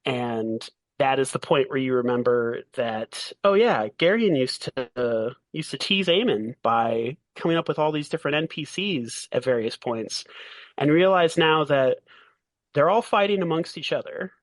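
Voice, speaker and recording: A man's voice: mid-range voice, expressive, no room sound. Man game master, dry close sound. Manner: halting, thinking aloud. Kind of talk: narrating a tabletop roleplaying game.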